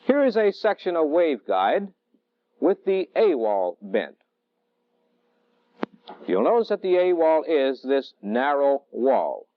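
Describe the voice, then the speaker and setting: A middle-aged man lecturing as a military technical instructor in a deliberate, didactic way, on a vintage training film soundtrack. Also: a little high, very animated, dry recording, highs cut off. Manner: deliberate, didactic, measured phrasing. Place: indoor training film studio.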